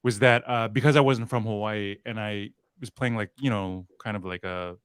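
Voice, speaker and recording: A man on a panel thinks aloud about his acting work, hedging with fillers as he goes. His voice sits fairly low. The sound is close and dry. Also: steady tone, normal speed, a bit bright, smooth, dry recording. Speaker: actor on a panel. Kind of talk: casual panel discussion.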